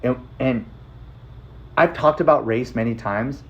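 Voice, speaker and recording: A man's voice: mid-range voice, a little slow, highs cut off. An American man telling his own life story casually, with a blunt, emphatic manner and short repeated phrases.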